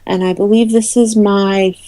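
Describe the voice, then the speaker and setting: Female interview guest, soft-edged mellow tone, mid pitch, conversational explainer who pauses and rewords. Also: steady tone. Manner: hesitant, often rephrasing mid-sentence. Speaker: interview guest, awards committee head. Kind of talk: casual explanatory interview chat. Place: remote call into a podcast.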